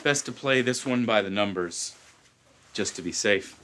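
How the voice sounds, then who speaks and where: Terse noir private eye, deadpan and clipped, lowish and bright, played by a man. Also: moderate pace, slight background noise, natural voice. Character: hardboiled male private detective, dry and terse. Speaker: detective. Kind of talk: parody noir acting.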